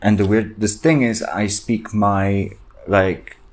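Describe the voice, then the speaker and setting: Low-voiced anxious young man rambling. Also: fairly even intonation. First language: Afrikaans. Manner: rambling, halting, full of ums and restarts; anxious, self-reflective. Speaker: podcast host. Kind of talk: solo personal podcast rant.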